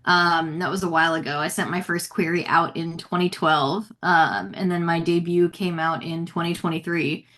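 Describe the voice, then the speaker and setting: American woman, kinda low voice, casual interview guest telling her story, says like and you know a lot. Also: fairly even intonation, moderate pace, few pauses, a bit bright. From United States. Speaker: guest author.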